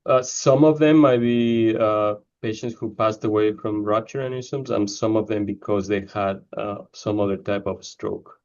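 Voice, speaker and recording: Halting man from Ecuador, low voice. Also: a little mellow, lo-fi sound. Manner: hesitant, many fillers. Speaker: neurosurgeon researcher. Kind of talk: interview answers.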